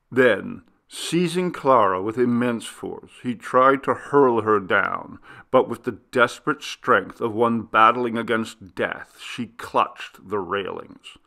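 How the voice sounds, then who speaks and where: A man narrates a Gothic tale in a bright, forceful voice with a gravelly edge. His delivery is theatrical and deliberate, suited to old literary prose. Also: on the low side. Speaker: audiobook narrator. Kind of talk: dramatic scripted narration of a classic Gothic story.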